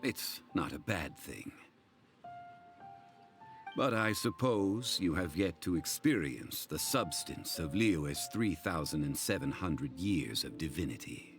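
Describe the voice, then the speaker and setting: A man playing a calm, learned, formal funeral parlor consultant in a video game, speaking in a measured, stately way. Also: low voice, heavily acted. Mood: composed, reflective. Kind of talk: scripted video game dialogue.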